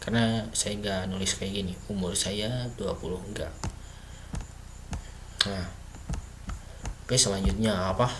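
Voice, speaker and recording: A man's voice: low voice, steady tone, a little slow, long pauses, noisy background. A man teaching basic coding in a casual screen-recorded lesson, thinking aloud with many fillers and self-corrections. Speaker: self-taught coding tutor.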